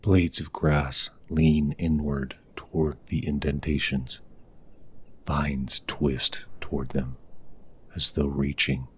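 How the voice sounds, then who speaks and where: A man narrating a scripted ASMR fantasy game as game master, hushed and deliberate, with dramatic pauses. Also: a bass voice, flat delivery, slightly rough.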